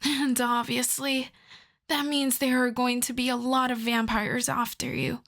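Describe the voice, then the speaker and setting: A woman's voice: a little high, little pitch movement, a little slow, a bit bright. A woman playing a possessive, seductive female vampire in an ASMR roleplay, speaking intimately and coaxingly with a smug, commanding streak and slipping into whispers. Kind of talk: ASMR roleplay audio drama.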